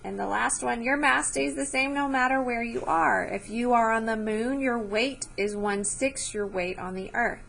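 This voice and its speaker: A woman's voice: a little high, moderate pace, gravelly, hardly any pauses, a bit bright. A woman teacher reading a science book aloud to children, explaining as she goes and checking understanding with short questions.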